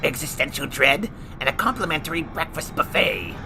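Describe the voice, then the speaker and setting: A man's voice: fairly high pitch, lively intonation, normal speed, creaky, background noise. An actor playing a snarky male horror host with a shriveled, burnt face, joking his way through a scripted review. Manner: jokey, theatrical, sarcastic. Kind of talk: scripted comedic review banter. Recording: music bed underneath.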